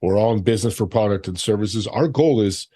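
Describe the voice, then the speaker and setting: A man's voice: fairly low pitch, a little fast, dark tone, no room sound. A man answering interview questions about his work, plain-spoken and often talking in short lists. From United States. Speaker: podcast host being interviewed.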